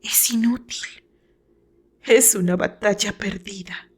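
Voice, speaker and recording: A woman voicing a fragile, tormented, tearful woman, bitter and resigned, with halting, breathy theatrical delivery in an audio novel. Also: mid-range voice. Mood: resigned, pleading. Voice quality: thin, whispery voice at moments.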